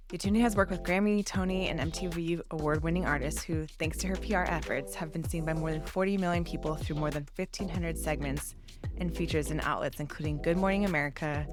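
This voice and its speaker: A woman hosting a podcast, reading a polished guest intro and then chatting with eager excitement. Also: deep voice. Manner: enthusiastic, bubbly and loud in conversation. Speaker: podcast host. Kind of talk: scripted intro, then casual interview. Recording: intro read over music.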